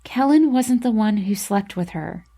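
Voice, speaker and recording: A calm young woman acted by a female narrator steps in as the frank friend in an audiobook story. She sounds cool, matter-of-fact and insistent, speaking without hurry. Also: medium pitch, a little slow.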